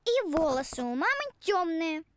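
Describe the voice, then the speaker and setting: Casting: little critical cartoon creature, childlike and inquisitive, squeaky-high with animated pitch. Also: moderate pace, noisy background. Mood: picky. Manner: childlike, pointed, correcting.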